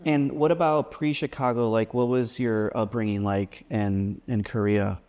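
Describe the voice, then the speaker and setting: A man hosting a casual interview, with a gravelly edge of fry running through his mid-pitched voice. He asks loose, friendly questions and drops "like" often. He nods along with short murmurs. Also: fairly even intonation, normal speed, a little mellow, in a large hall. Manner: friendly, uses "like" a lot, lots of backchannel mm-hmms. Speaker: podcast host. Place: guest's home.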